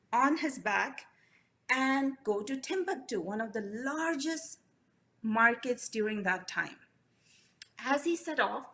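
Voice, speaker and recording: A woman storyteller whose first language is Urdu, telling children's folk tales with lively expression. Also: sits high. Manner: animated, expressive, addresses the children. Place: library event.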